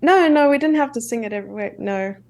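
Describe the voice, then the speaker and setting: A woman's voice: a little high, animated, a little mellow. A young South African woman with a South African accent, chatting casually while co-commentating a chess broadcast. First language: English. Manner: chatty, self-deprecating, quick asides.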